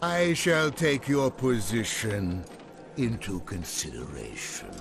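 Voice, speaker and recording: An actor playing a sinister, gloating demon lord in a game cinematic, with a gravelly voice and a deliberate, menacing delivery. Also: male voice, on the low side, very animated, noisy background, full character voice. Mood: mocking menace. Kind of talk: dramatic game cinematic acting. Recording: loud scary music behind.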